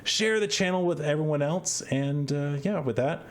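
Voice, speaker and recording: A man's voice: a little high, moderate pace. A man hosting a storytelling show, reading a fairy tale aloud in an informal, conversational way. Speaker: host and storyteller.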